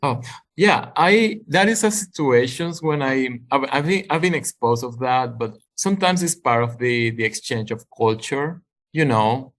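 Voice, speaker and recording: A man joins a video call discussion and speaks haltingly, restarting often as he searches for his words. His voice sits in an ordinary middle pitch. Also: fairly bright tone. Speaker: program participant. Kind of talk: sharing an opinion in a group discussion.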